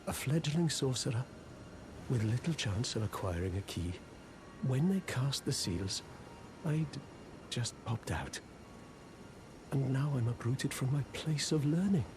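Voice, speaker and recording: An actor playing a humble, hapless apprentice sorcerer in a video game, apologetic, self-deprecating and hesitant. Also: male voice, mid-range voice, lively intonation, unhurried, pauses often, noisy background, close to an everyday voice. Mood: wistful, resigned. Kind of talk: video game character dialogue.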